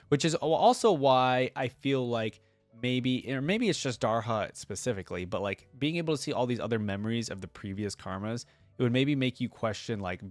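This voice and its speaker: A man with an average-pitched voice hosts a fan reaction, moving between reading lore aloud and casual commentary. His delivery is conversational and a little tentative in the asides. The sound is dry, with very little room to it. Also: no room sound. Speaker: host of a fan reaction channel.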